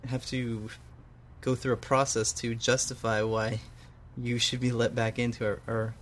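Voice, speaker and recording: A man's voice: mid-range voice, flat delivery, unhurried, a little hiss or hum. A man co-hosting a homemade radio show, chatting casually in a joking, rambling and self-deprecating way. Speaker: co-host. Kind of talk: casual chat.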